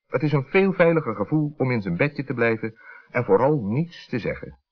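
A man narrating a scripted children's story as an expressive storyteller. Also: medium pitch, normal speed. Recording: dull 1960s radio recording.

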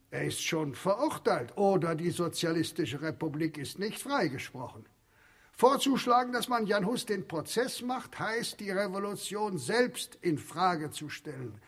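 A zealous male revolutionary speaks in a high-pitched voice. He orates with cold, doctrinaire insistence and stretches phrases for weight, as in a political radio drama. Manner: rhetorical, declamatory, with drawn-out emphatic phrases.

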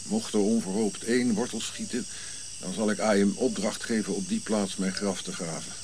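Man playing a grumpy, low-voiced old prince. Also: steady tone, moderate pace, lightly acted. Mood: wry, reflective. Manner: curt, irritable, dry irony. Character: grumpy, sardonic old prince looking back on life. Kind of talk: radio drama acting. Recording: clear background hiss and insect-like night noise.

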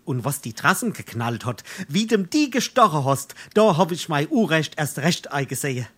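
A young man in love in a Darmstadt dialect comedy. He is plaintive and melodramatic, with a breathy, husky voice that rises and falls widely. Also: a little high, very animated, normal speed, fairly bright tone, heavily acted. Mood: earnest. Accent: Hessian, Darmstadt dialect. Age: young adult. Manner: plaintive, earnest. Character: lovesick, melodramatic young male suitor.

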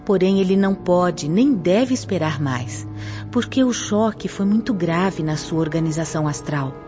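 A woman playing an earnest, authoritative spirit guide in a radio drama, with measured, formal, didactic delivery. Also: fairly low pitch, expressive, natural voice. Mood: earnest, explanatory. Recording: dull lo-fi audio under clear music.

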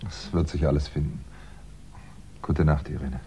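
An actor playing a polite, brooding, middle-aged crime writer in a 1960s German radio play, speaking in a measured, dryly understated way. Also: male voice, rumbling low, fairly even intonation, background noise. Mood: subdued. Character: hunted male crime novelist, polite, brooding, wry. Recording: dull 1960s radio recording.

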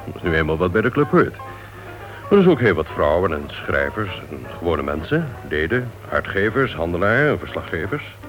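An actor playing a chatty, genial club member in a Dutch radio play. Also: male voice, low voice, big pitch swings, moderate pace, music in the background. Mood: genial, teasing. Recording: dull old radio recording.

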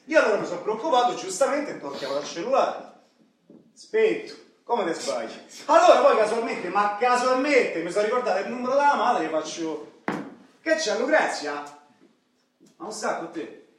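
An actor playing a brash, boastful young bodybuilder and ex-dealer from the Roman outskirts, confessing in emphatic street slang with a Roman accent. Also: male voice, sits high, very animated, moderate pace. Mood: storytelling, wry. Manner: colloquial, emphatic, punctuated with rhetorical tags. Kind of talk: theatrical monologue in street slang. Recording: echoey hall, distant mic, audience noise.

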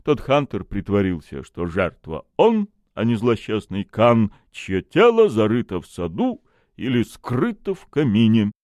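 A man's voice: fairly low pitch, sing-song, measured pace, darkish tone, no room sound, pitched above the performer's own voice. A man voicing a haughty, self-assured expert in criminology for an audiobook, lecturing with a pompous drawl. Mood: confident. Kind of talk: audiobook character acting.

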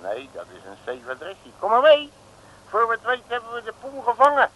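An actor playing a grumbling, streetwise detective with slangy curses in an old comic radio play. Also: male voice, very high-pitched, huge pitch swings, fairly quick, bright, forceful tone, heavily acted. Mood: chatty, scheming. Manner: slangy, colourful cursing. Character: blustering, grumbling, streetwise Rotterdam private detective. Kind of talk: 1950s-60s comic radio play acting. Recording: noisy old radio recording.

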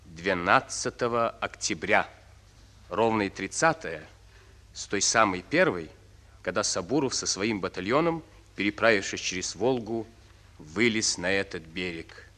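An actor narrating a war story for a radio drama in a solemn, deliberate manner. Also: male voice, low voice, expressive, bright, forceful tone, long reverb tail, heavily acted. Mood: grave. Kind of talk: scripted narration.

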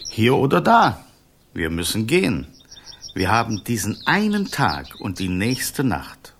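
Low, earnest golden snake guardian. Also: male voice, huge pitch swings, a bit bright, slight background noise, full character voice. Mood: earnest, urging. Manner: earnest, measured, urging. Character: loyal golden snake, a princess's patient guardian spirit. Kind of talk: radio fairy tale acting.

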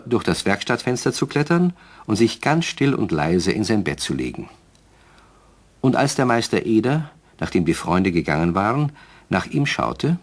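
An actor narrating a children's radio play as a genial storyteller who addresses young listeners directly, with a husky voice. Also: male voice, lowish voice, heavily acted.

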